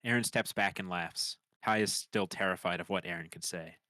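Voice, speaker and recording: A man with a low voice narrates scene descriptions from a screenplay at a table read. The sound is dry, with no room echo, and the reading is scripted. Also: fairly even intonation, normal speed, bright, forceful tone. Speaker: narrator reading stage directions.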